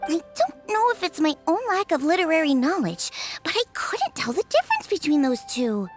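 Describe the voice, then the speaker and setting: A woman's voice: extremely high pitch, sing-song, normal speed. A woman voicing a breezy, blunt and friendly young woman in a video game. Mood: breezy, puzzled. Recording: music behind game dialogue.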